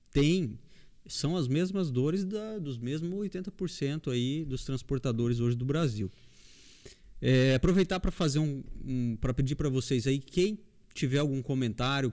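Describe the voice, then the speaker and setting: A man's voice: mid-range voice, darkish tone. A man hosting a casual interview podcast, chatting informally and calling himself an amateur while inviting listeners to send in questions. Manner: informal, self-deprecating, invites audience questions. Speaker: podcast host.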